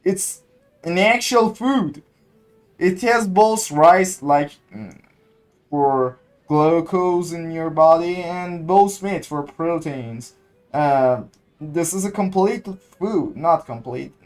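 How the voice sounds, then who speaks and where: A man's voice: fairly high pitch, slow, soft background music, rough recording. A man from Iran with an Iranian accent, a native Persian speaker, giving casual gameplay commentary and hesitantly searching for English words. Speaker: gaming content creator.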